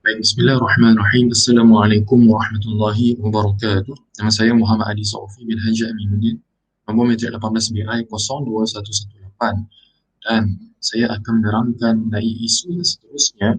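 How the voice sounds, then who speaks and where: A man's voice: on the low side, flat delivery, a little fast, slightly rough, low-quality recording. A young man giving a formal class presentation, opening with a greeting. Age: young adult. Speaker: student presenter.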